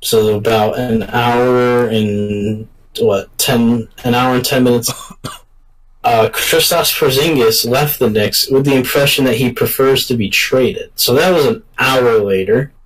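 A man hosting a casual sports talk show, speaking in a deliberate, halting way. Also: medium pitch, steady tone, far from the mic, rough recording. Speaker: sports podcast host.

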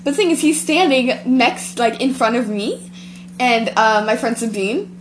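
A woman's voice: fairly high pitch, moderate pace, background noise. A teenage girl hosting a homemade podcast, rambling through a school story with lots of giggling. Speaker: podcast host. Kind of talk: casual chat.

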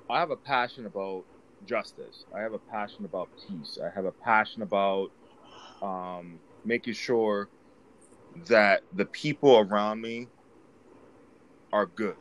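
A man's voice: lowish voice, dull audio, slight background noise. A man from the Bronx hosting a podcast, talking earnestly and with feeling. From the Bronx, New York. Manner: earnest, emotional. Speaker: podcast host. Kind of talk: casual podcast chat.

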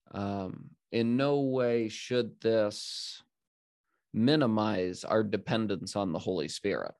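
A man's voice: fairly low pitch, darkish tone, dry recording, clean studio sound. A young man hosting a faith podcast, speaking earnestly in casual talk, often restarting sentences and using fillers. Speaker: podcast host.

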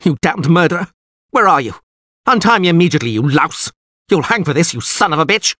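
A man's voice: medium pitch, expressive, unhurried, slightly rough, no room sound, clean studio sound, voice pushed up. A man voicing an agitated, haughty nobleman in an audiobook, with a strained, raised voice. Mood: outraged. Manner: sneering, blustering, agitated. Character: haughty, furious nobleman, frightened and pleading when bound. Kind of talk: audiobook character acting.

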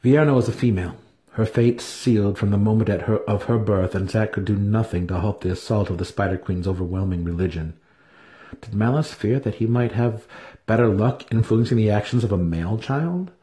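A man's voice: lowish voice, brisk, mellow. A man giving a dramatic reading of fantasy fiction as an audiobook narrator. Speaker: audiobook reader.

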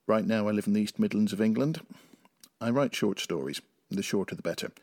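A man's voice: fairly low pitch, a little fast, a little mellow, dry recording, clean studio sound. A middle-aged man from Northern Ireland, speaking as a writer and podcast guest. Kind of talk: interview answers and reading own short story.

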